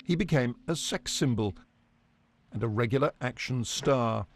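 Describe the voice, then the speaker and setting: A male correspondent narrates a scripted career retrospective. His medium-pitched voice has a marked creak and the measured style of a news package. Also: animated, slight background noise.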